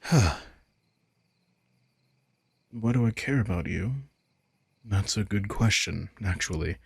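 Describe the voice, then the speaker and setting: A man's voice: fairly low pitch, takes it slowly, creaky, breathy edge, no echo, heavily acted. A man playing a vampire prince in an intimate roleplay audio, a teasing, possessive but protective keeper who talks conversationally. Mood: caring, reassuring. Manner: conversational, teasing, swearing in concern.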